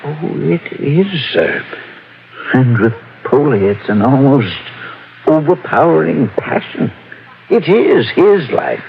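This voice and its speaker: A courtly, elderly wine fanatic in a vintage radio play. He is fussy and fervent, with a gravelly edge and very animated pitch. The recording is dull and old. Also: takes it slowly, a little hiss or hum, heavily acted. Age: older. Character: genteel, fussy elderly male wine epicure, obsessive. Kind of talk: old-time radio drama acting.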